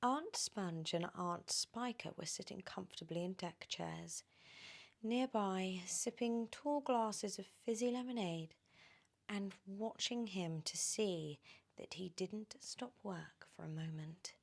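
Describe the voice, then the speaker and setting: A woman with a British accent reading a children's story in ASMR style, animated and often slipping into whispers. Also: mid-range voice, slow pace, vocal fry.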